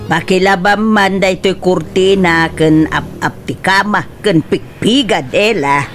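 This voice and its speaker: A woman's voice: on the low side, unhurried, soft background music, close to an everyday voice. A woman playing an older mother in a radio drama, drawling and hesitant, with an Ilocano accent. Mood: slow, instructing. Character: older mother, slow and hesitant, giving chores. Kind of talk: Filipino radio drama acting.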